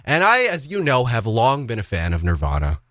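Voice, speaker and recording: A man banters playfully as a radio host, with a hugely animated pitch that jumps around for mock drama. His voice is bright and recorded close and dry in a studio. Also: moderate pace, no room sound. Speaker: radio morning show host. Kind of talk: casual comic radio banter.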